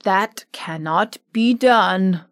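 A woman's voice: mid-range voice, extremely slow. A woman voicing a courteous male winged monkey king who speaks formally and politely in a storybook reading.

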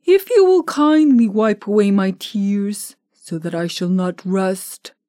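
A woman voicing a gentle, tender-hearted male tin man in a storybook reading, speaking softly and politely. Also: medium pitch, takes it slowly, no room sound, studio quality, natural voice. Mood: plaintive.

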